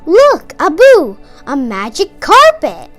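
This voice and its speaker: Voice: extremely high pitch, wildly swooping pitch, slow, fairly bright tone, exaggerated voice. A storyteller voicing a brave, friendly young street lad from a children's storybook, eager and exclaiming. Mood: amazed. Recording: music behind the voice.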